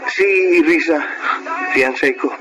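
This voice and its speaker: A man's voice: fairly high pitch, fairly even intonation, slow pace, background noise. An actor playing a polite, slightly awkward young Filipino man in a radio drama. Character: courteous, awkward young man, engaged, gently distant.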